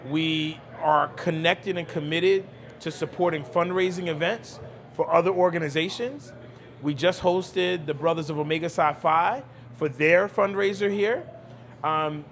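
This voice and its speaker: A man's voice: fairly high pitch, fairly bright tone. A man talking conversationally as an interview guest, speaking emphatically and repeating phrases. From Brooklyn, New York, United States. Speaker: cigar lounge owner, podcast guest.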